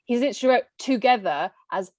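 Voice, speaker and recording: A woman's voice: fairly high pitch, animated, fast talker, darkish tone, no room sound. Blunt laughing woman co-host, dry sound. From half Greek, half English. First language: Greek. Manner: blunt, laughs often, swears. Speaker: podcast co-host. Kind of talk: casual chat reading out messages.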